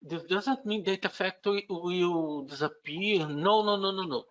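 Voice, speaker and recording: A man with a Brazilian Portuguese accent walks a remote audience through a technical topic, pausing often with "uh" and restarting phrases. He talks slowly, and his voice has a bright, forward edge. The recording is a bit dull and lo-fi. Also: sits high. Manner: unhurried, halting explanation with frequent "uh" fillers and restarts. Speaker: conference speaker. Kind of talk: technical conference presentation.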